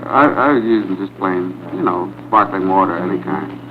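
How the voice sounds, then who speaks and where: A man's voice: low-pitched, moderate pace. An American man in casual chat, secretly recorded on a concealed microphone in the 1940s. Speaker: hidden-microphone prankster. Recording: tinny, noisy 1940s hidden-microphone recording.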